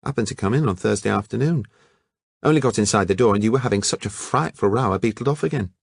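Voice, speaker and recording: A man doing a squeaky young woman. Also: fast, creaky, slightly rough. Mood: indignant. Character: shrill young woman art student, prickly.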